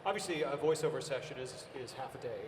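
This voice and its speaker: An American man hosting a convention panel, asking questions eagerly with energetic restarts. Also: mid-range voice, quick pace, noisy background. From United States. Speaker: panel host. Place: large convention hall stage. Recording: roomy echoing hall, distant from the mic, audible crowd ambience.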